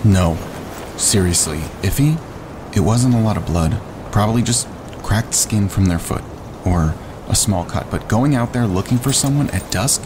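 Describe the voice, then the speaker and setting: A man's voice: lowish voice, lively intonation, normal speed, noisy background, natural voice. A man playing a practical, cautious camper who reasons firmly, in a naturalistic audio drama. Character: practical, cautious male wilderness camper, reassuring his partner.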